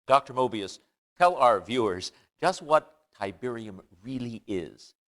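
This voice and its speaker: An actor playing a flustered, puzzled TV interviewer in a video game cutscene, hesitant and stammering. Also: male voice, mid-range voice, animated, measured pace, soft background music, close to an everyday voice.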